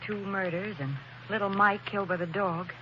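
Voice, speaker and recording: An actress playing a quick-witted female companion in a 1940s radio drama, anxious at times and wry at others. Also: medium pitch, fairly even intonation, lo-fi sound, slight background noise, close to an everyday voice. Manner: anxious then wry and playful.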